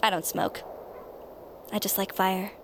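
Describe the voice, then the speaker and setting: A woman's voice: medium pitch, fairly even intonation, a little slow, slight background noise, lightly acted. An actress playing an eerie, pushy teenage girl who feigns friendliness with an insistent, wheedling manner in an audio drama. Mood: casual, sly. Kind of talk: naturalistic audio drama acting.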